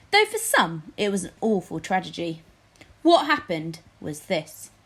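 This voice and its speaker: A woman's voice: mid-range voice, a bit bright. A woman narrating a children's storybook expressively, as a teacher reads aloud.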